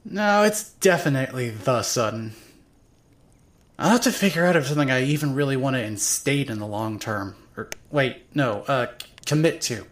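Woman acting a tipsy, swearing young woman, very animated. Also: a bass voice, normal speed. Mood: flustered. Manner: rambling, drunken hesitations, sarcastic swearing. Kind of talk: first-person dramatic narration of a fan audio drama.

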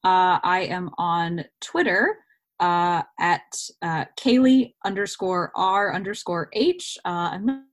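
A woman's voice: lowish voice, takes it slowly. A woman chatting informally as a guest in a casual podcast interview. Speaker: podcast guest, actor and cosplayer.

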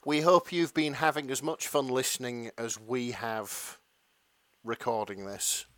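A man's voice: mid-range voice, steady tone, unhurried, a bit bright, dry recording. Chatty man on a podcast. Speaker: podcast co-host. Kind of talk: casual podcast chat.

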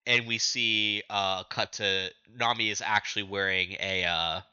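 A man with a low, very sharp, bright voice co-hosts a casual recap podcast. He tells the story informally and with some animation. The sound is dry and close, though a little dull and lo-fi. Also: fairly even intonation, measured pace, clear, resonant tone, dry recording. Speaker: podcast co-host. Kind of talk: casual chat recapping manga chapters.

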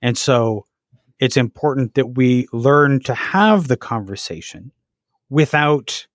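A man's voice: medium pitch, animated, takes it slowly, dry recording, studio quality. A man hosting a podcast, speaking hesitantly with many fillers and restarts. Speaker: podcast host. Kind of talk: podcast interview.

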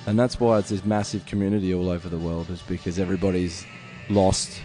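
A man's voice: sits low, flat delivery, quick pace, dark tone, faint music behind. An Australian man with an Australian accent, chatting as an interview guest in a reflective way, thinking aloud. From Adelaide, Australia. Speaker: interview guest, touring musician. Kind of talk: casual interview chat.

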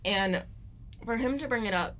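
Bright-voiced young woman explaining eagerly. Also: a little high, moderate pace. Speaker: podcast co-host. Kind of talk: casual scripted discussion.

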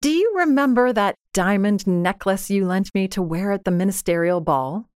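A woman's voice: medium pitch, lively intonation, moderate pace, darkish tone, smooth, no echo, natural voice. A woman voicing a careworn, proud, plain-spoken working-class wife in a storybook reading, earnest and emphatic. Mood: frank, weary.

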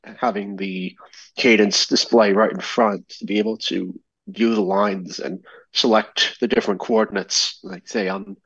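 Husky man on a muffled line. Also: fairly low pitch, steady tone, measured pace, a bit bright, no room sound. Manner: hesitant, many fillers and restarts. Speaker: caller. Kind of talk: casual questions on a call-in show.

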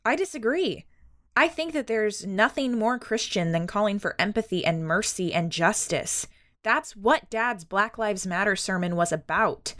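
A young woman playing an earnest, principled, polite eldest sister in an audio drama, hesitant and gently defensive. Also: mid-range voice, a little slow, close to an everyday voice. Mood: earnest, firm. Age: young adult. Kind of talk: audio drama acting, conversational.

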